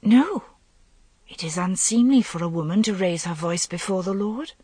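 A woman voicing a timid, wistful young queen who is gentle, prim and piously modest. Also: medium pitch, lively intonation, normal speed, husky, no room sound.

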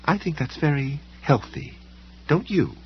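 A man voicing a polite, condescending woman librarian in an audiobook story, with a smooth, quietly menacing manner. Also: on the high side, sing-song, measured pace, lo-fi sound, voice pushed up, full character voice. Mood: smoothly dismissive. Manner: smooth, coolly dismissive, faintly patronizing.

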